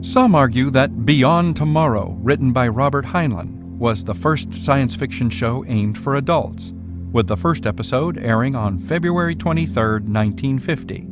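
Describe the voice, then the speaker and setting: A man's voice: mid-range voice, moderate pace. A man reading scripted documentary narration. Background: prominent music.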